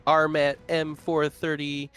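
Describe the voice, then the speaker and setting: A man playing a tabletop roleplaying game, talking casually and haltingly through his moves. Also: a little high, monotone, fairly bright tone, clear, resonant tone, soft background music.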